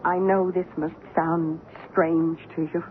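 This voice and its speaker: Earnest widow on tinny old radio. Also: female voice, lowish voice, flat delivery, slow pace. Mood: calm, explaining. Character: earnest widowed friend, calm believer in parapsychology. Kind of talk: old-time radio drama acting. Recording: phone-like, noisy old radio recording.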